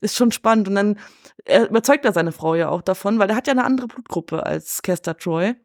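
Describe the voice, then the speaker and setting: A woman's voice: medium pitch, brisk, a little mellow, no echo, studio quality. A woman speaking in a casual film discussion, retelling things colloquially and acting out reactions. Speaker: podcast guest discussing a film.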